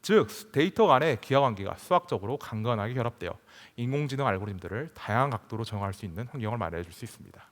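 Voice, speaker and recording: A man giving a formal, prepared technical presentation at a conference, in measured, scripted phrasing. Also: mid-range voice, lively intonation. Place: large conference hall stage. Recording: reverberant hall PA sound.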